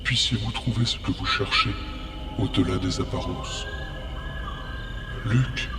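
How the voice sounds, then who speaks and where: A man playing an echoing, slow-spoken ghostly father. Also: mid-range voice, fairly even intonation. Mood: tender, solemn. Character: male ghost father, tender, solemn and grateful. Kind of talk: audio drama fantasy acting. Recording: echoey, distant, under loud music.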